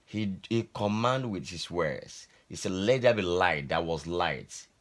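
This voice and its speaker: A man's voice: low-pitched, expressive, a little slow. An older man with a Nigerian accent giving informal Bible teaching to family in a repetitive, exhorting way. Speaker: grandfather teaching a Bible story.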